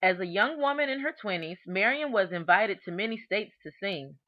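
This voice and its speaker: A woman narrates a children's storybook with a bright, forward voice at a medium pitch. Her delivery is deliberate and expressive, leaning on important words as a read-aloud storyteller does. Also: no room sound, poor audio. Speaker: storybook reader.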